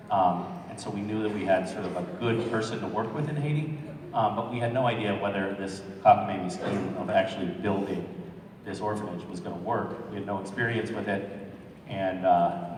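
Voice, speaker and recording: A man's voice: lowish voice, a little hiss or hum. A man answering an audience question as a convention panel guest, earnest and reflective with a self-deprecating humor. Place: large convention hall. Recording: echoey hall from far off.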